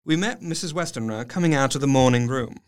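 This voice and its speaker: An actor playing an earnest, educated Victorian doctor, narrating his diary in a formal, measured way for an audio drama. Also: medium pitch, animated, smooth, natural voice. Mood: calm.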